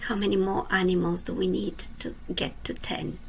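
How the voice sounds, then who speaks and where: A woman teacher reading a children's picture book aloud, asking the young listeners questions and dropping to a soft whisper at the ends of lines. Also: mid-range voice, flat delivery, creaky, slight background noise, rough recording. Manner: slow, gentle, drops to a soft whisper at line ends. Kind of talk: children's storytime read-aloud. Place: indoors at a computer.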